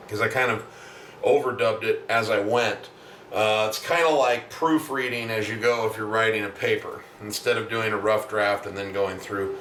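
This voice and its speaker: A man with a deep voice, a musician talking casually and at length in a video diary, explaining with many asides. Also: fairly bright tone. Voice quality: deep natural voice. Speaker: singer-songwriter and self-producing musician. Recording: roomy, distant mic, faint background noise.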